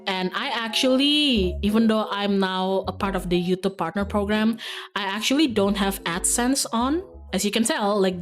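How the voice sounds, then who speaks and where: A woman streamer chatting casually with her viewers in a playful, giggly, rambling manner. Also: mid-range voice. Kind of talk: casual livestream chat with viewers. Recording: music behind the voice. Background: background music.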